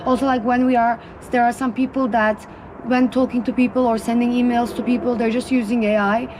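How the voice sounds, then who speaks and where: A woman's voice: fairly high pitch, flat delivery, fast, a little mellow, a little hiss or hum, rough recording. A young adult woman interviewing a friend in a chatty, teasing way. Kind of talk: casual interview chat.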